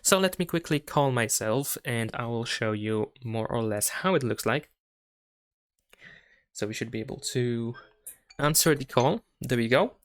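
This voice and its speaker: A man's voice: medium pitch, lively intonation, a little slow, no room sound. A man narrating a step-by-step tech tutorial in a methodical, explanatory manner.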